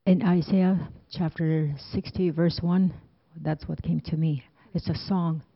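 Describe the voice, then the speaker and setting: Woman, low flat voice, slow deliberate, dry muffled distant sound. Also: no room sound. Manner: slow, deliberate, even delivery. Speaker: church member sharing. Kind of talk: quoting scripture in sharing.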